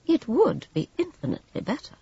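A woman voicing a smooth, cold, quietly resolute woman in a dramatised storybook reading. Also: high-pitched, wildly swooping pitch, pitched above the performer's own voice, exaggerated voice. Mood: cool, resolute. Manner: composed, cool, measured, polite. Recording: roomy, slightly dull audiobook recording.

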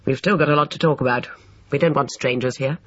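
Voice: mid-range voice, quick pace, fairly bright tone, dry recording, highs cut off, lightly acted. A narrator voicing a brusque, irritable, well-to-do English businessman, clipped, bossy and indignant, in an audiobook.

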